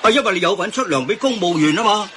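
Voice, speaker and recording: An actor as a well-informed Hong Kong man in a radio skit, explaining things patiently to his friends. His voice is fairly high and very bright, over a faint noise. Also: expressive, fast talker. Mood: reassuring. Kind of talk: Cantonese radio drama banter, explanatory.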